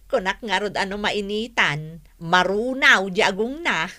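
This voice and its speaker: A woman playing a thrifty, cheerful younger married woman in an Ilocano radio drama, talking in an expressive, frank, teasing way. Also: medium pitch, slow pace, heavily acted. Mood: gossipy, amused.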